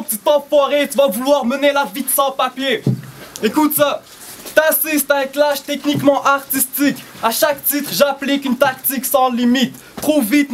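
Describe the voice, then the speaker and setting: Un jeune homme à l'accent français enchaîne des rimes techniques et agressives dans une battle. Sa voix est très haute. Un léger bruit de fond l'accompagne. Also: male voice, extremely high pitch, a little hiss or hum. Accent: French. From Algeria. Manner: rapid, dense rhymes, aggressive and vulgar. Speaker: battle rapper. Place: small indoor shop venue.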